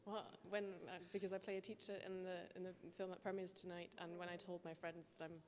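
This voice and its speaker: An actress from Germany answers with short, dry remarks. Her voice is on the low side for a woman. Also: little pitch movement, fast talker.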